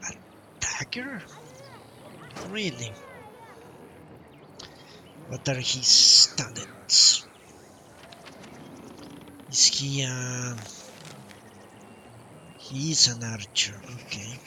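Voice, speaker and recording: Slow-talking man muttering through a game stream. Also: mid-range voice, expressive, noisy background. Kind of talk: casual live game playthrough commentary, thinking aloud. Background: game music.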